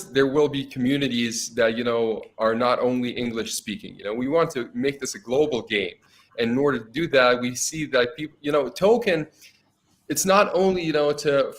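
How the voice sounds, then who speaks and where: A man's voice: mid-range voice, fairly bright tone. A man co-hosting a casual interview, talking in long loose sentences full of "you know". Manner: chatty, lots of "you know". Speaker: co-host.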